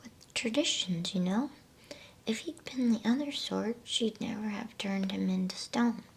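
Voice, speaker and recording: A woman's voice: mid-range voice, a little slow, gravelly, voice pushed up. A woman voicing a kindly, knowing male faun, chatty and genteel, in a whispered bedtime storybook. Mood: approving, chatty.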